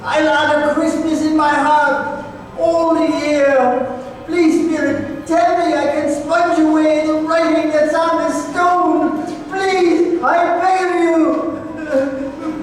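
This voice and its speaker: An older man playing an old miser, halting and theatrical, by turns pleading and giddy, in old-time radio drama style. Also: very high-pitched, flat delivery. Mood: desperate pleading. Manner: halting, exclaiming, stammering, theatrical. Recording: very echoey hall, distant mic.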